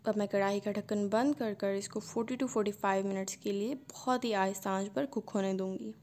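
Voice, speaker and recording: A woman, hosting a cooking channel and calmly narrating a recipe step by step. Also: medium pitch, brisk, a little hiss or hum. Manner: calm step-by-step instruction.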